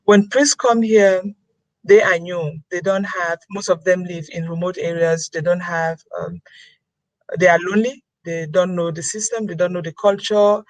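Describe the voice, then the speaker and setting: A woman's voice: lowish voice, steady tone, moderate pace, dry recording, poor audio. A woman giving an earnest webinar talk, repeating key phrases for emphasis over a computer mic. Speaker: webinar presenter.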